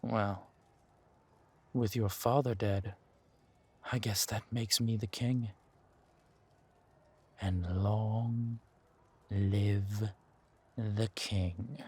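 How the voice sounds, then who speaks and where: A man's voice: on the low side, steady tone, extremely slow, long pauses, darkish tone, breathy edge, heavily acted. A man acting a menacing, seductive villain king in a roleplay audio drama, with a theatrical, commanding drawl. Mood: smug, seductive.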